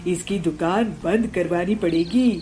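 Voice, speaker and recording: A woman's voice: fairly low pitch, unhurried, dark tone, slight background noise, natural voice. A woman voicing a jealous, scheming male bird shopkeeper in a children's cartoon, resentful in manner. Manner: resentful, plotting.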